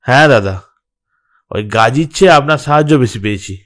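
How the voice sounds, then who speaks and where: Guy playing a sidekick plotting stuff, respectful to the boss, medium male pitch, audio story. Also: expressive, moderate pace, fairly bright tone, lightly acted. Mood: conspiratorial. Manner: respectful, conspiratorial. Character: deferential male underling plotting an attack. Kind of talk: audio drama acting.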